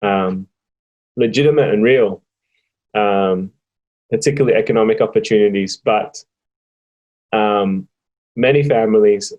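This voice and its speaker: Slow, thoughtful Australian man. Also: lowish voice, darkish tone, low-quality recording. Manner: slow and thoughtful, with frequent pauses and fillers. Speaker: interviewee. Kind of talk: reflective personal testimony.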